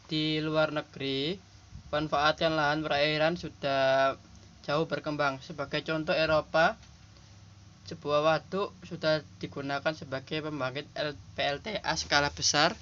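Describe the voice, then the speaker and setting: A young man with a cold speaks in a hoarse voice while he walks through his slides, halting and polite. His voice is bright and clear and sits a little high. It comes through a dull, lo-fi home recording. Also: slight background noise. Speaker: student presenter. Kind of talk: class presentation over slides. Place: small shop.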